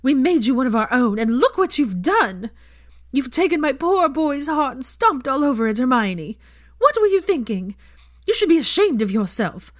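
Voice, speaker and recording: A woman's voice: sits high, sing-song, normal speed, no echo, clean studio sound, pitched above the performer's own voice. A woman voicing a furious, indignant middle-aged mother who scolds and accuses with heavy emphasis. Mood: outraged.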